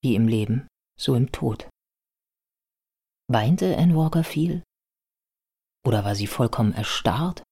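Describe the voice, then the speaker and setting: A man playing a reflective biographer who narrates a radio play in sober literary prose, with a husky voice. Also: mid-range voice, lively intonation, slow pace, long pauses, warm tone, exaggerated voice. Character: reflective biographer recounting a life with sober sympathy. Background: music.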